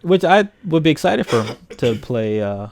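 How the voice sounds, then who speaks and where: A man's voice: mid-range voice, big pitch swings, moderate pace, gravelly, slight background noise. A man co-hosting a casual film and video game chat, speaking in an opinionated, blunt way. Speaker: podcast co-host reviewing films.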